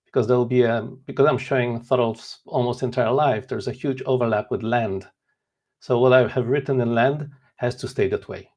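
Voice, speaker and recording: A man's voice: mid-range voice, normal speed, a little mellow. A man in his forties from Poland, a native Polish speaker, talking earnestly and candidly as an author guest in an interview. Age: 40s. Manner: earnest, candid, self-deprecating. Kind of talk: casual interview chat.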